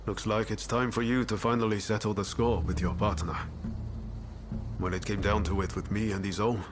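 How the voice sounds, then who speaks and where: A man's voice: on the low side, steady tone, moderate pace, over music, lightly acted. An actor playing a hardened, loyal swordsman comrade in a video game, earnest and plain-spoken. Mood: earnest, encouraging. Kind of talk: dramatic video game cutscene acting.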